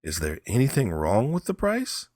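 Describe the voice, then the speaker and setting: A man's voice: mid-range voice, wildly swooping pitch, moderate pace, darkish tone, dry recording, studio quality, pitched above the performer's own voice. A man voicing a brooding, determined man who speaks in an earnest, plain, insistent way. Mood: troubled concern. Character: brooding, determined Hawaiian man seeking a bottle.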